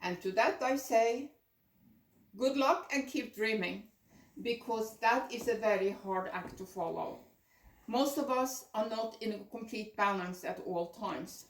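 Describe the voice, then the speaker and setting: An older woman from Norway gives a prepared personal presentation in a deliberate, earnest way. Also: fairly high pitch. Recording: echoey room, distant microphone.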